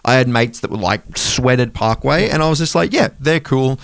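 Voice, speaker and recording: A man's voice: medium pitch, moderate pace, vocal fry, fairly bright tone, dry recording. A man with an Australian accent chatting casually and informally as a podcast host, with some swearing. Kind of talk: casual chat.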